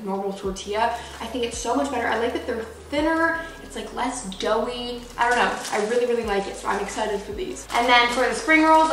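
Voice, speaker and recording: A woman's voice: a little high, a bit bright. A woman of twenty chatting casually in her home kitchen as a vlogger, using lots of "like" fillers, recorded by a camera a few feet away. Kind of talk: casual grocery haul chat. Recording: echoey kitchen, distant mic.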